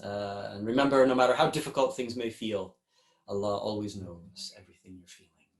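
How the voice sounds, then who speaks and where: A man, a singer-songwriter, chatting warmly and apologetically to his audience between songs. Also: lowish voice, fairly quick. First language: English. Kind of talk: live concert talk between songs. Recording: roomy with guitar music.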